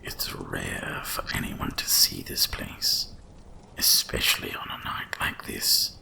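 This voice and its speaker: A man voicing an ancient, cursed winter dragon in a hushed ASMR fantasy monologue, with a gravelly, breathy rumble and slow, mysterious storytelling. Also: poor audio. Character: ancient cursed male winter dragon, wise, once proud, brooding.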